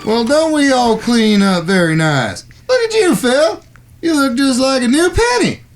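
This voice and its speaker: A man's voice: extremely high pitch, sing-song, a little slow, soft background music, exaggerated voice. A man playing a crude, hard-drinking American gunslinger with a folksy, cussing drawl in a western audio drama. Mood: pleased, preening. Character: crude, hard-drinking old-west gunslinger sidekick, whiskered, grumbling.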